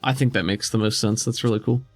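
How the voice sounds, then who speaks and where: A man's voice: lowish voice, fairly even intonation, quick pace, no room sound, soft background music. Casual man running a tabletop game, dry sound. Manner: casual, conversational. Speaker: game master. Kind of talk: narrating and explaining a tabletop game.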